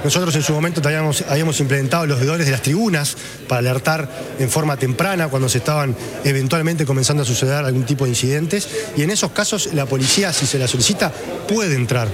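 A man, a politician giving a statement, quick with filler pauses. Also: fairly high pitch, crowd noise. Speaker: politician, former minister. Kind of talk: press statement.

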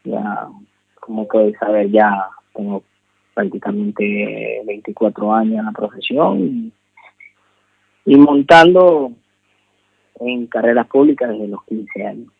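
Gravelly, low-voiced Venezuelan man. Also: slow, pauses often, no room sound. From Maracaibo, Venezuela. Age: 30s. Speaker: jockey, interview guest. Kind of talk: conversational radio interview. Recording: dull, lo-fi phone-in sound.

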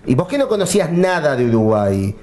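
A man's voice: fairly high pitch, big pitch swings, a little fast, a little mellow. A man hosting a radio interview, chatty and laughing easily as he asks questions. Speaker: interviewer, host.

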